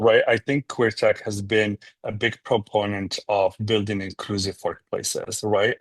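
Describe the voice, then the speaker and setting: A low-voiced man from Morocco answers interview questions as the founder of a nonprofit, reflective and unhurried. He often pauses on "um" and checks in with "right?". The sound is close and dry. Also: slightly rough, dry recording.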